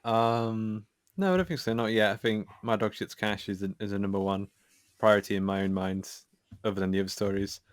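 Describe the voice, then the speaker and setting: A man's voice: lowish voice, fairly quick, smooth, no room sound. A man speaking as a podcast guest in an informal, self-effacing, chatty way. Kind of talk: casual chat.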